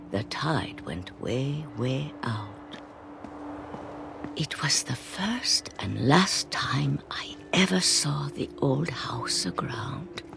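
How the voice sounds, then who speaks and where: An actress playing an elderly, stubborn, wistful great-grandmother with a creaky aged voice, telling stories slowly and deliberately in a video game. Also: very deep voice, exaggerated voice. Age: older. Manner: slow, deliberate delivery. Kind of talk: dramatic video game storytelling.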